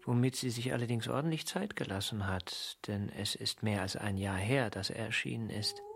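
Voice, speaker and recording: A man's voice: on the low side, moderate pace, vocal fry, fairly bright tone, slight background noise. An actor playing a sardonic, brooding male novelist, talking in a wry, literary way in a radio drama. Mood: dry, mocking. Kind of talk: radio drama acting and narration.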